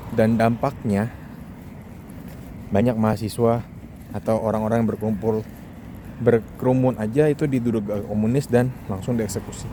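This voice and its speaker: A man's voice: medium pitch, dark tone, a little hiss or hum. A young man from Indonesia talks casually in a joking monologue vlog, mixing in English words. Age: young adult. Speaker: vlogger, international student.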